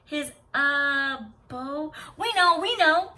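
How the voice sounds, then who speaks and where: Woman acting proud, high-pitched boastful young sister. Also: takes it slowly, sharp tone, exaggerated voice. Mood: flustered. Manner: boastful, stammering and whispering when cornered.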